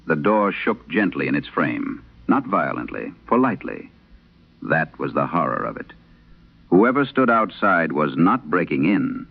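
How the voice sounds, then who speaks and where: A man narrating a scripted horror tale in vintage radio style, solemn and measured, with dramatic pauses. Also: very deep voice, big pitch swings, vocal fry, husky. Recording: dull, band-limited vintage radio sound.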